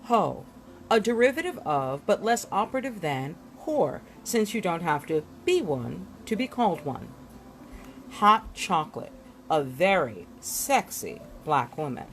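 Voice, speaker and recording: A woman reading glossary entries aloud from a book in an expressive style, giving headwords deliberate, dramatic emphasis. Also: lowish voice, slight background noise. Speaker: reader of a book.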